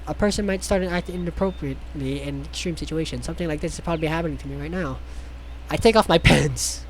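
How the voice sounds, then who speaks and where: High-voiced male reader, hammy and sarcastic, tripping over words, faint noise behind. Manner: theatrical, sarcastic asides, stumbles over words. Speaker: host reading a visual novel aloud. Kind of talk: mocking dramatic read-aloud with ad-lib commentary.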